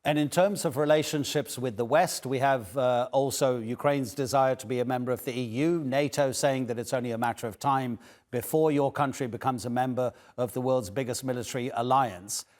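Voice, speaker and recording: Male news anchor, measured, asks long multi-part questions. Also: medium pitch, fairly even intonation, a little mellow.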